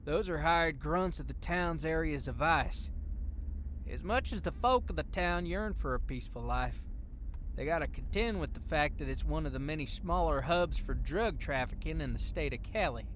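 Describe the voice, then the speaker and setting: Man playing a folksy, higher-voiced truck driver. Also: animated, moderate pace, fairly bright tone, slight background noise, low-quality recording. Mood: wary. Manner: folksy, wry, colloquial. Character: folksy, blunt, good-natured male truck driver. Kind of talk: audio drama acting.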